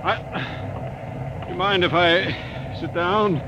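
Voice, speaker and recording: A man playing an eager, excitable archaeologist in an old-time radio drama, polite but insistent. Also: high voice, drawn-out words. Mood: polite, uneasy. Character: eager, excitable male archaeologist, no space hand. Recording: noisy, muffled old radio recording.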